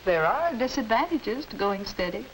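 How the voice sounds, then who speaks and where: A woman's voice: a little high, sing-song, moderate pace, low-quality recording. A middle-aged woman playing a careful, concerned mother in a 1950s instructional film. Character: concerned, gently probing mother of a teenage girl. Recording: hissy 1950s educational film soundtrack.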